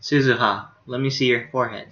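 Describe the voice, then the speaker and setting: A man's voice: mid-range voice, fairly even intonation, brisk, fairly bright tone, highs cut off. A young man streaming, casually reading a visual novel aloud with offhand asides.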